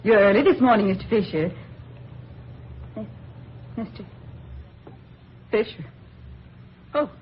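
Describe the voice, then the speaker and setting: Casting: flustered secretary, very slow, lively swooping pitch, radio-drama acting, muffled vintage recording. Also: female voice, a little hiss or hum. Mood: startled, faltering. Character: polite office secretary, flustered by her boss.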